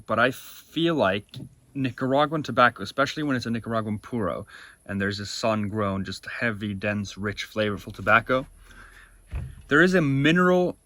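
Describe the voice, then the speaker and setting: A man's voice: on the low side, bright, forceful tone, no echo. A young man co-hosting a cigar review show, chatting casually and talking through flavor notes with enthusiasm.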